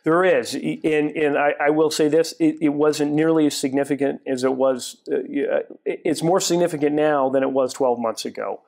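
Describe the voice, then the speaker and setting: A man's voice: fairly high pitch, vocal fry. A man in his forties, a guest in a studio interview, explaining at length with frequent restarts, on a lapel mic in a TV studio. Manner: halting restarts, then steady explanation. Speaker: guest, retail property developer. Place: TV studio set.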